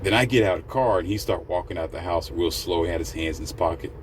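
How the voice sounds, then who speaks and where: A man's voice: sits low, quick pace, background noise. A man hosting his own podcast, rambling in a casual, slangy storytelling monologue. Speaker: podcast host.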